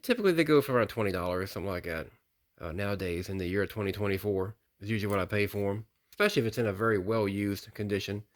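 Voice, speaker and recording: A middle-aged American man casually showing off a piece from his collection, speaking in a plain, folksy way and often repeating himself. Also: on the low side. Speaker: radio collector presenting a hobby video. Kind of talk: casual product showcase narration.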